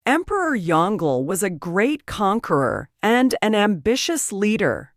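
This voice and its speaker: A woman's voice: mid-range voice, sing-song, measured pace, no echo, clean studio sound. A woman reading a scripted educational narration.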